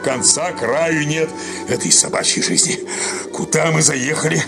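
An actor playing a gruff, weary and irritable young postman in a Russian radio play. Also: fairly high pitch, unhurried. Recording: loud music behind the voice.

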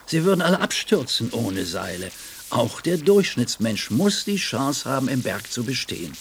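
An actor in a radio play voicing an educated, pious but doubting gentleman with a husky voice and an earnest, questioning manner. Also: medium pitch, sing-song, moderate pace, slight background noise. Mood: earnest, objecting.

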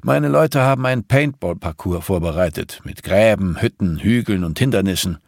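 A man acts out a rich, muscular patriarch for an audiobook. He is jovial and patronizing, speaking in a gravelly, commanding voice. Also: sits low, lively intonation, normal speed, no room sound, studio quality. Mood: eager, patronizing. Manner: commanding, expansive.

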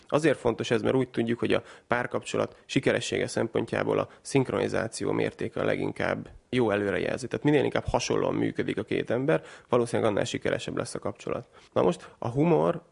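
A man with a low, heavily gravelly voice explains research at length in an interview. His delivery is measured and academic, with long chained sentences. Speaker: researcher guest.

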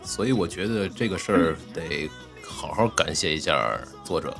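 A man's voice: low-pitched, expressive, normal speed, gravelly. A man who works as a voice actor chatting casually as a guest, often hesitating and repeating his words. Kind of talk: casual panel chat. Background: background music.

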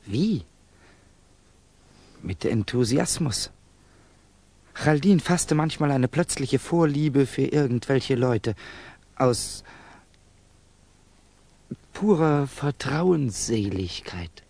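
An actor playing a bitter, guarded, touchy young Russian student in a 1960s German radio drama, with clipped, defensive questioning. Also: male voice, medium pitch, expressive, extremely slow, creaky, pauses often, a little mellow, slightly rough, heavily acted. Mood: tense, guarded.

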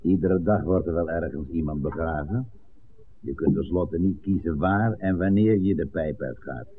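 A blunt village pub regular played by a man in a 1960s radio play. His voice is low and his delivery matter-of-fact. The old recording is thin, with faint noise. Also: moderate pace, narrow, tinny audio, natural voice. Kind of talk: 1960s radio drama acting.

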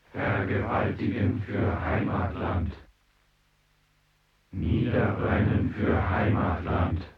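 An actor playing a fierce military commander in an avant-garde radio drama, hammering out terse orders and slogans. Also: male voice, lowish voice, slight background noise. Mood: fanatical. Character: fierce male war commander, inciting soldiers to kill. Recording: distant, echoing, dull sound.

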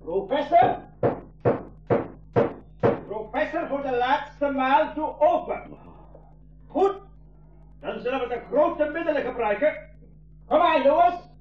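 An actor playing a menacing male gang leader, calling out slow threats in a 1980s radio play. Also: extremely high pitch, animated, faint music behind, exaggerated voice. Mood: threatening. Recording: distant echoey shouting, dull sound.

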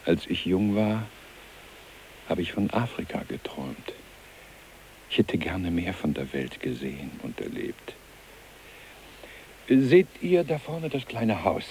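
A man's voice: low-pitched, sing-song, slow, long pauses, darkish tone, slight background noise, heavily acted. An actor playing an older country man in a radio drama, hospitable but blunt in manner. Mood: wistful. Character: kindly, blunt old country man in Sweden.

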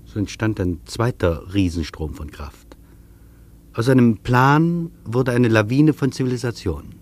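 An actor playing an earnest, reflective traveller in a scripted radio feature, speaking deliberately and rhetorically. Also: male voice, medium pitch, animated, a little mellow, natural voice. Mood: reflective, explanatory. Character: earnest reflective male traveller recounting an imagined interview. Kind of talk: scripted German radio feature, literary narration.

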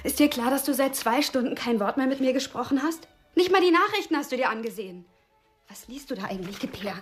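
A woman's voice: high voice, lively intonation, fast, faint music behind. A woman playing a jealous, neglected lover in a radio drama, sardonic and needling. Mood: reproachful.

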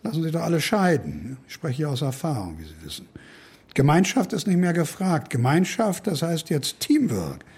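A man's voice: fairly high pitch, big pitch swings, normal speed, a little mellow, husky, exaggerated voice. An actor playing a cantankerous, cynical middle-aged male professor in a radio drama, pompous and impatient. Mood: irritated, cynical.

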